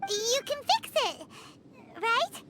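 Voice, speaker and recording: Tiny bubbly game sidekick: squeaky child voice, extremely high, wildly swooping, loud music behind. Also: female voice, big pitch swings, takes it slowly, heavily acted. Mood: casual. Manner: eager, bubbly, blunt. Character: tiny, perky, childlike female companion creature, chatty and cheeky. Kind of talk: scripted video game voice acting.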